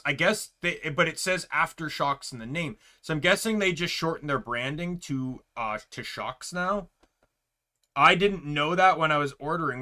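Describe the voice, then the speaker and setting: A young man streaming, chatting casually with a loud voice, rambling with lots of fillers and restarts. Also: a little high.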